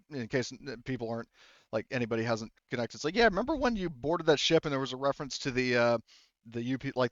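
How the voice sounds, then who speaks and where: A man runs a tabletop roleplaying game as game master. He talks informally and haltingly, often restarting his sentences as he explains the scene. The recording is close and dry, slightly dull on top. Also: mid-range voice, no echo.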